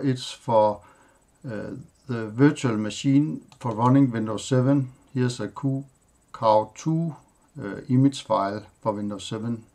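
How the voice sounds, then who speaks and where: A Danish-native man talks slowly through his desktop, naming programs one by one. He pauses often and fills gaps with short fillers. His voice sits at an ordinary middle pitch. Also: creaky, darkish tone. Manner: halting, plain, listing items with pauses and fillers. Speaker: tech tutorial host. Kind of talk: screen-recorded software walkthrough.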